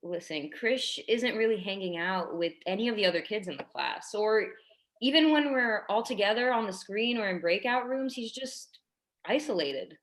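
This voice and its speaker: A woman's voice: mid-range voice, animated, normal speed, a bit bright, lightly acted. A woman acting a careful, conciliatory schoolteacher. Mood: careful, concerned. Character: tactful, apologetic female third-grade schoolteacher, gently persistent. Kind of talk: staged reading of contemporary drama.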